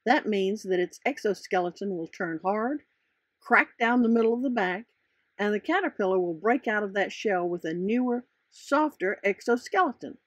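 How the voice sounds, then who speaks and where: A woman narrates a children's storybook with very lively intonation and clear emphasis. The voice is a bit raspy, recorded close and dry, and they explain things expressively as the author of the book. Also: mid-range voice, moderate pace, no echo. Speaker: author reading their own children's book.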